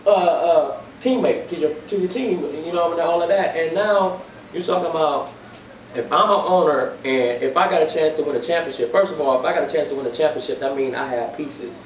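A young man arguing sports in casual talk, emphatic and repeating his phrases, recorded by a distant camera in a barbershop. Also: a little high. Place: barbershop, indoors. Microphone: far camera. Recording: echoey room, distant lo-fi mic, faint hiss.

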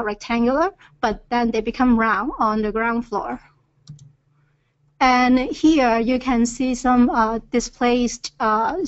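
Hesitant woman presenting a webinar. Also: fairly high pitch. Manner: hesitant, many filler "uh"s. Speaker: earthquake reconnaissance presenter. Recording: dull lo-fi webinar audio.

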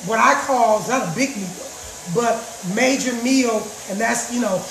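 A middle-aged woman with a raspy voice chatting casually to her viewers about juicing, recorded in her home kitchen on a phone. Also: a little high, moderate pace, a little hiss or hum. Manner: chatty, repeats herself, addresses viewers as guys. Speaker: juice vlogger. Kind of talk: casual live chat. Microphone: phone camera across the counter. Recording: roomy kitchen echo.

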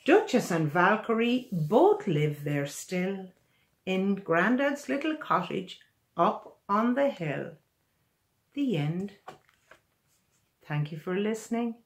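An older woman in her sixties reads a children's picture book aloud in an animated, expressive way, recorded in a small room at home. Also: medium pitch. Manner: animated, expressive, deliberate storytelling. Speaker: children's author reading own picture book. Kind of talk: storybook read-aloud for children.

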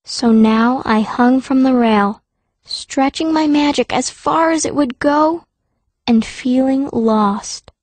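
A woman's voice: sits high, takes it slowly, a little mellow, no echo. A woman reading scripted first-person narration as a fierce young girl heroine of a fantasy audiobook. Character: fierce, stubborn girl stone mage telling her story.

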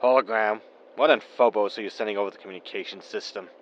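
A man playing a weary, dry-witted spaceship captain in a sci-fi comedy audio drama, deadpan in manner. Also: fairly low pitch, quick pace, highs cut off, very noisy, close to an everyday voice. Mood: exasperated.

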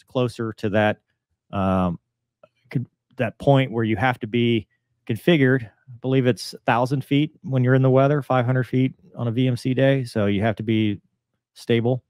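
A man's voice: medium pitch, darkish tone, clean tone, no room sound, clean studio sound. A man in his forties giving casual, conversational explanatory commentary as a host, using pilot jargon. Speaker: host, former fighter and airline pilot commentator. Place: small home room, on a video call.

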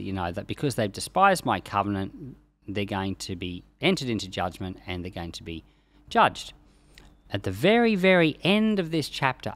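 A man's voice: mid-range voice, a bit bright, dry recording. A man in his forties giving informal, explanatory Bible teaching, conversational but emphatic on key words, close to a desk microphone. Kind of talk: explanatory Bible teaching, reading scripture aloud. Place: small indoor study with bookshelves. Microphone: close desk microphone on boom arm.

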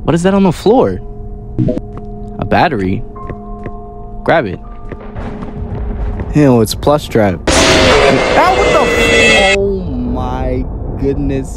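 A man's voice: medium pitch, very animated, takes it slowly, pauses often, music in the background. A young man giving casual, joking, exclamatory commentary on a horror game in slangy speech. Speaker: gamer making videos.

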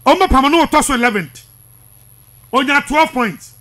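A Ghanaian man hosting a sports radio show in a strikingly high, sharp voice. His delivery swings up and down in an animated way as he hammers points home, repeating them insistently. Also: measured pace. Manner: emphatic, insistent, repetitive. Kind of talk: heated sports commentary, switching between languages. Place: small radio studio. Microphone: studio microphone close up.

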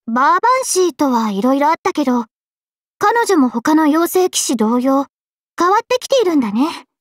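A woman's voice: high voice, sing-song, moderate pace, no room sound, studio quality. A woman playing a friendly, thoughtful young girl mage in an anime game, musing in a casual way.

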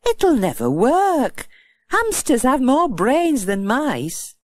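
A woman's voice: high-pitched, wildly swooping pitch, slow pace, a little mellow, no echo, exaggerated voice. A woman voicing a practical, doubting young mother with an exclaiming manner. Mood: worried, skeptical. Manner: exclaiming, skeptical.

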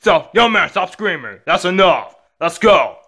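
A man's voice: high voice, sing-song, a little slow, sharp tone, full character voice. A man playing a stern, weary father who scolds and admonishes his young son in a homemade story.

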